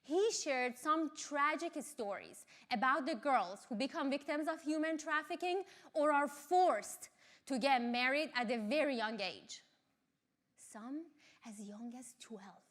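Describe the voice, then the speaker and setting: Slow, earnest woman. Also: high-pitched, fairly bright tone. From Iran. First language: Farsi. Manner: earnest, measured delivery. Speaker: speaker. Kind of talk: motivational talk.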